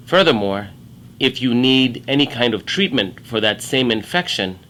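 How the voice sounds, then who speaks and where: A man from Kenya, a middle-aged infectious disease doctor, giving a measured, earnest talk about his work. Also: medium pitch, pushed and bright. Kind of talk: prepared career talk to camera.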